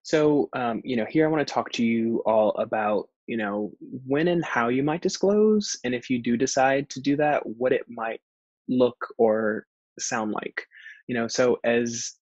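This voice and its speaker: A man's voice: medium pitch, unhurried, a little mellow, no echo. A man who stutters with repeated words, presenting in a webinar and often saying "you know". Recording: dull, lo-fi webinar audio.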